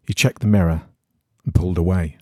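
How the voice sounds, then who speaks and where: A man reading an eerie horror story in a measured, suspenseful storytelling manner. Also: deep voice, fairly even intonation, no echo, studio quality. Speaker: story reader.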